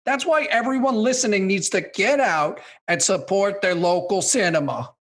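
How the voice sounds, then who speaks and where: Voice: mid-range voice, normal speed, fairly bright tone, close to an everyday voice. An actor playing a cranky, dismissive film critic in their sixties in a scripted comedy radio skit. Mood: grumpy. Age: 60s. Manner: grumbling, dismissive, combative. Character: cranky opinionated 68-year-old film critic, a baby boomer.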